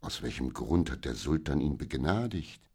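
A wise, gentle old merchant, as a male reader plays him, in a very deep, hushed voice. He speaks in a calm, soothing and measured way. A storybook audiobook father figure. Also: slightly rough, heavily acted. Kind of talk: audiobook character voice.

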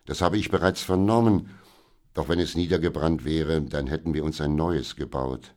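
A man voicing a wise, calm older merchant and gentle father in a children's audiobook, speaking in a soothing, measured way. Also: very deep voice. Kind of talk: audiobook character voice.

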